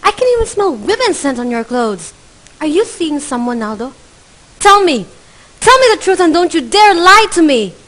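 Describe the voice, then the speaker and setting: A woman's voice: sits high, very animated, moderate pace. A woman playing a bitter, angry wife and mother in a student radio drama, confronting her husband. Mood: furious. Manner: accusing, shouting in an argument. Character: bitter, furious middle-aged wife and mother.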